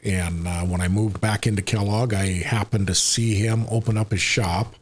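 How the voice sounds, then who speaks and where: A man in his sixties with an American accent, chatting casually as a podcast guest in a rambling, unhurried way. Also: on the low side, steady tone, husky. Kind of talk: casual conversational interview.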